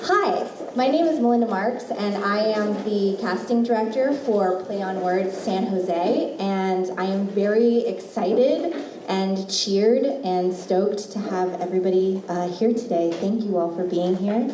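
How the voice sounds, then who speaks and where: A woman's voice: medium pitch, measured pace. A woman hosting a live event, giving an enthusiastic, slightly hesitant informal welcome speech. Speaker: host, casting director. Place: live event at an art museum. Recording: echoey, distant mic, clear background noise.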